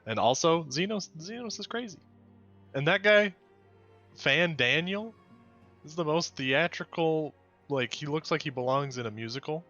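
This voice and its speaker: A man chatting casually about a game, halting as he gropes for words, over a lo-fi voice chat. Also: fairly high pitch, animated, pushed and bright, faint music behind. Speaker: guest chatting with game streamer. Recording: dull lo-fi voice chat.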